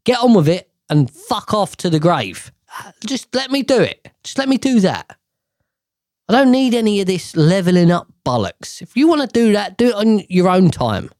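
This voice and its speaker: A man's voice: sits high, sing-song, normal speed, a little mellow, dry recording, studio quality. Swearing, exasperated man ranting. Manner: rambling with restarts and sighs. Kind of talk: casual rant to camera.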